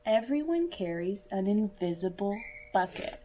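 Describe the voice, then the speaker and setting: A woman reading a picture book aloud to young children in a deliberate, teacherly manner, as if speaking directly to her listeners. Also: mid-range voice, sing-song, warm tone, slight background noise, poor audio. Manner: asks listeners questions and pauses for answers. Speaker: children's story reader.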